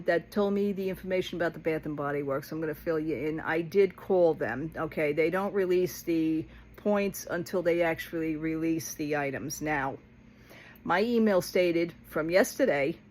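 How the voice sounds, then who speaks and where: Woman, lower-pitched voice, casual vlog rant, blunt, exasperated, colloquial, rambling storyteller. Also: normal speed, darkish tone. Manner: blunt, exasperated, colloquial, re-enacting both sides of conversations. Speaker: vlogger talking to followers.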